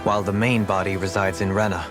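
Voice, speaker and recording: A man's voice: deep voice, flat delivery, over music, natural voice. An actor playing a composed, refined nobleman companion in a video game, with formal, analytical phrasing. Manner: formal, measured, erudite phrasing. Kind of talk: scripted video game voice acting.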